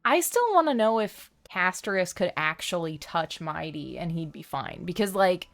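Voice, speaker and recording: A woman game streamer with a slightly low voice and a noticeable creak. She comments casually on the story and reads parts of it out loud. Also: lively intonation, normal speed, soft background music. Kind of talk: casual gaming commentary and reading aloud.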